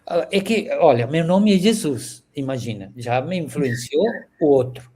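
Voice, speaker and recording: A man in his sixties from Venezuela, speaking Portuguese with a Venezuelan Spanish accent, reflecting slowly as an interview guest over a video call with many pauses and the filler "este". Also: on the high side, lively intonation. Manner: warm, halting, frequent filler "este".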